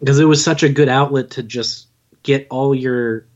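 A man's voice: medium pitch, steady tone, moderate pace, dull audio. A man in his twenties chatting casually as an interview guest, modest and informal in how he talks. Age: 20s. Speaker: podcast guest, audio drama writer and narrator.

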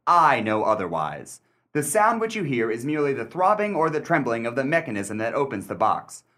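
A man playing a self-assured, skeptical gentleman puzzle expert in a radio mystery, with precise, formal diction and a dryly condescending manner. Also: medium pitch, sing-song, brisk. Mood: dismissive, assured. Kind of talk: radio mystery acting, period dialogue.

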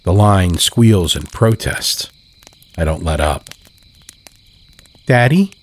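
A man narrating scripted horror fiction as a storyteller, solemn and measured. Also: low voice, slightly rough. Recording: faint night ambience with crickets.

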